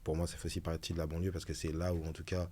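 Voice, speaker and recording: A man's voice: deep voice, flat delivery, warm tone. A man hosting a round-table podcast, talking casually with loose restarts. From Antilles. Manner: quick, loose talk with many restarts. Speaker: podcast host.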